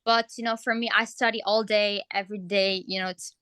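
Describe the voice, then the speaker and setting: A woman's voice: mid-range voice, level tone, a little fast, sharp tone, clean tone, dry recording, clean studio sound. A teenage girl on a video call, chatting casually in a friendly, reassuring way. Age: teens. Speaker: guest language speaker. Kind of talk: casual conversation.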